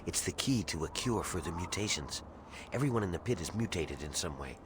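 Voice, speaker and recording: Deep-voiced, grim rebel slave. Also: male voice, expressive, brisk. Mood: grim, determined. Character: grim embittered rebel slave man scheming for freedom. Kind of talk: video game character dialogue. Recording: clear ambient noise behind voice.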